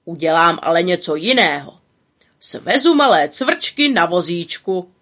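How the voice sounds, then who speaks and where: Bossy, show-off little male bug from a storybook, swinging pitch; woman reader doing it. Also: expressive, a bit bright. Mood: cheerful. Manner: brash, teasing, showy.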